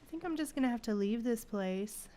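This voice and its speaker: A woman's voice: a little high, expressive, a little fast, mellow, a little hiss or hum. A woman livestreaming a game with casual, playful commentary, chatting to viewers and reacting aloud to what happens.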